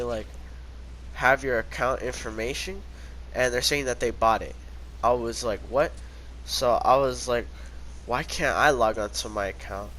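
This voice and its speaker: A man's voice: medium pitch, fairly bright tone, a little hiss or hum, rough recording. A teenage boy with a stuffy, congested voice from a cold, casually telling a rambling storytime and quoting himself with lots of "I was like.". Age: teens. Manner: rambling, many "I was like" quotes. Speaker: vlogger.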